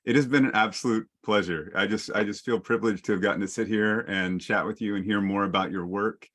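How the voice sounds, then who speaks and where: A man's voice: lowish voice, fairly even intonation, brisk, a bit bright. A man moderating a panel discussion over a video call, wrapping up with thanks to the guests.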